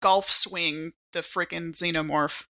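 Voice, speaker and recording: A woman's voice: on the low side, fairly even intonation, takes it slowly, pushed and bright. A woman playing a tabletop roleplaying game, bantering and reacting casually.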